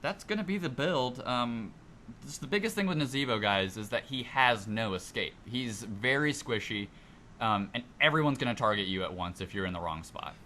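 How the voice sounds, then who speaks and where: A man's voice: medium pitch, expressive, normal speed, bright, forceful tone. A man co-hosting a gaming podcast, talking casually about play. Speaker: podcast co-host. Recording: faintly noisy amateur podcast recording.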